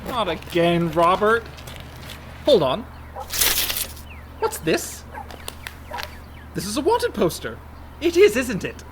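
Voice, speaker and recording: A man acting as a fretful younger brother and reluctant swindler in a radio comedy. The voice is high and very lively, full of sarcasm and worry. There is a faint noise behind it. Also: takes it slowly, long pauses, exaggerated voice. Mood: worried, exasperated. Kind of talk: comic radio play acting.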